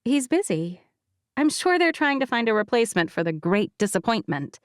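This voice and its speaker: A woman's voice: a little high, sing-song, normal speed, clear, resonant tone, no echo, pitched above the performer's own voice. A woman voicing a dry, self-mocking young woman with a scarred face. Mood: wry.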